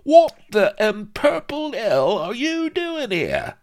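A fretful little agent from a comic adventure storybook. The voice is pushed very high and quavers as it swoops between muttering doubt and whining protest. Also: male voice, wildly swooping pitch, takes it slowly, darkish tone, full character voice. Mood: bewildered. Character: fretful little middle-class agent, flabbergasted, squeaky and whining.

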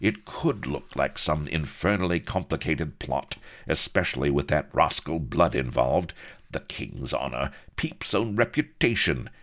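A man voicing a flustered, pompous official of old England in an audiobook, gruff and archaic in speech. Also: very deep voice, expressive, unhurried, fairly bright tone, voice pushed up, full character voice. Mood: flustered, alarmed.

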